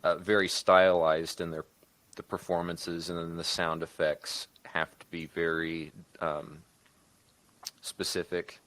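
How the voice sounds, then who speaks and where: A man talking casually as a podcast guest, in a thoughtful, measured and somewhat hesitant manner. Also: low-pitched, little pitch movement, a bit bright. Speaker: podcast guest, co-producer and writer. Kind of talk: casual discussion.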